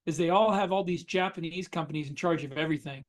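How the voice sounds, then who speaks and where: A man with a fairly high voice chats as a podcast co-host. He talks casually and wryly, joking his way through points and acting out imagined reactions. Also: fast. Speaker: podcast co-host and science fiction writer. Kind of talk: casual conversational banter.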